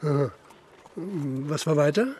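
A man playing a sober, persistent police investigator in a radio drama, questioning in a deliberate, matter-of-fact way. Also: fairly high pitch, darkish tone, slightly rough. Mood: insistent.

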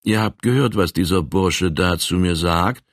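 A man voicing a calm, fearless giant frontiersman who speaks with cool, firm command in an audiobook. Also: deep voice, a little slow, dry recording, clean studio sound. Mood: composed. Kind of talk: audiobook character voice.

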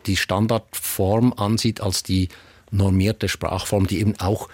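A man's voice: lowish voice, flat delivery, a little slow, darkish tone, husky, no room sound. A man from Switzerland with a Swiss German accent, a dialect expert explaining at length, thoughtful and hesitant with many fillers and restarts. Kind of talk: explanatory talk-show conversation.

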